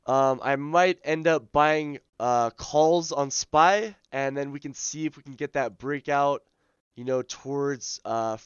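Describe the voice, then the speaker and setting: A man hosting a live day-trading stream, his voice scratchy as he loses it, talking through charts in a relaxed way full of ums and uhs. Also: a little high, clear, resonant tone, dry recording, highs cut off. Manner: relaxed, filler-heavy, thinking aloud. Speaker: day trading livestream host. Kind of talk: live market commentary.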